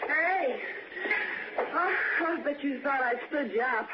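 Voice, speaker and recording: An actress playing a lonely, chatty young waitress with an eager, colloquial manner in a 1960s radio suspense drama. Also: female voice, high voice, takes it slowly. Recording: noisy, muffled 1960s radio recording.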